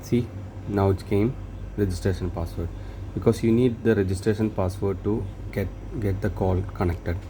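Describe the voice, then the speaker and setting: A man talking through a software update step by step, hesitant and halting, often restarting words. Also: on the low side, steady tone. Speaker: tech tutorial presenter. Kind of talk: screen-recorded how-to walkthrough. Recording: noisy room, hum and traffic behind.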